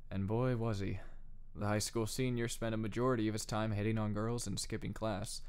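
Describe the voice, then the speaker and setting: Reflective teen boy narrator played by a man, lowish voice, scripted audiobook read. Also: darkish tone, clear, resonant tone. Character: pensive teenage boy narrating his story.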